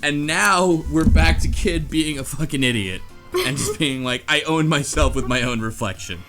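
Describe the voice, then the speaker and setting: A man's voice: on the high side, normal speed, fairly bright tone. A man hosting a casual podcast discussion of a game's story, explaining things in a hesitant, rambling way. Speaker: podcast host. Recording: music under the voice. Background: music.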